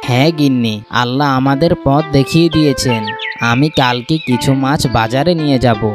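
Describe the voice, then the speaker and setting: A humble cartoon farmer, voiced by a man, speaks at a middle pitch. He is an affectionate village father, with music playing clearly behind him. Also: a little fast, natural voice. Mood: pleased.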